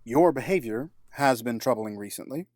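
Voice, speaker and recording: An actor playing a cold, scheming middle-aged businessman villain in an audio drama, formal and measured with a sardonic edge. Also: medium pitch, animated, a little mellow, no room sound, clean studio sound, lightly acted. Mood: suspicious, commanding.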